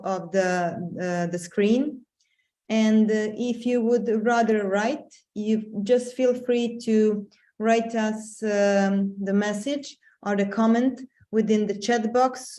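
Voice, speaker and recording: Slow, hesitant middle-aged woman mentor. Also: medium pitch, dark tone. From Serbia. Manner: slow, hesitant with many fillers. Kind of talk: webinar presentation. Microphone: video call.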